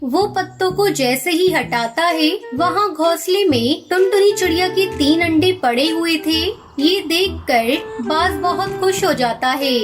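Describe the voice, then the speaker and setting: A woman's voice: very high voice, expressive, unhurried, few pauses, over music. A woman narrator reading a scripted moral story for children.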